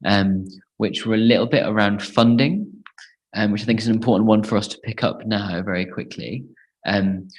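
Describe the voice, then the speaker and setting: A man's voice: lowish voice, moderate pace, low-quality recording. A man leading a conference call as a campaign organiser, giving an informal, practical explanation to volunteers.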